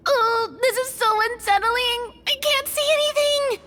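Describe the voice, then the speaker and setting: A woman's voice: extremely high pitch, slow pace, pushed and bright, a little hiss or hum, exaggerated voice. A woman voicing a nervous, polite young woman adventurer in a video game.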